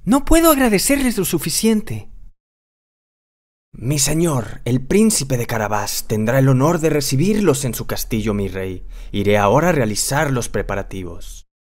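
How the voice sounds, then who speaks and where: A performer voicing a crafty, flattering male cat servant in a children's cartoon fairy tale, theatrical and courtly. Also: medium pitch, moderate pace, lightly acted. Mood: courtly, deferential. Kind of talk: children's cartoon storybook acting.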